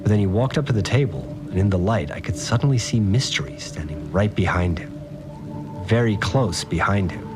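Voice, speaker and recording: Man acting deep-voiced uneasy fixer over music. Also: fairly quick, close to an everyday voice. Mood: wary, haunted. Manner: hesitant, plain-spoken, uneasy. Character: troubled, doubting male fixer recounting events. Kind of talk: confessional audio drama monologue.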